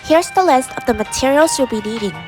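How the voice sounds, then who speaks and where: A woman's voice: high voice, animated, quick pace, over music. A young woman reading a scripted how-to narration as a student presenter. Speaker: student presenter of a craft tutorial.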